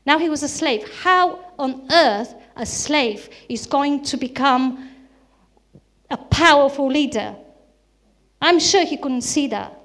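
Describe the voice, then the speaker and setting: A woman's voice: high voice, animated, takes it slowly, fairly bright tone. A woman from Bulgaria, a native Bulgarian speaker with a Bulgarian accent, preaching a sermon in an earnest style with repeated emphatic phrases.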